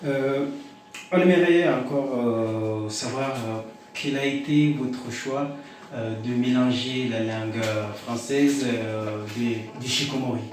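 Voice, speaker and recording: Male interviewer, hesitant phrasing, medium pitch, heavy room echo. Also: a little mellow, slight background noise. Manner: halting, hesitant phrasing with many drawn-out pauses. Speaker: interviewer, show host. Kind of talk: radio-style interview questions. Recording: very echoey room, distant mic, music behind.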